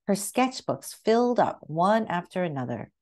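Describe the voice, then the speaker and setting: Expressive lower-voiced woman reading a picture book. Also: moderate pace. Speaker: read-aloud narrator. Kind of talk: children's picture book read-aloud.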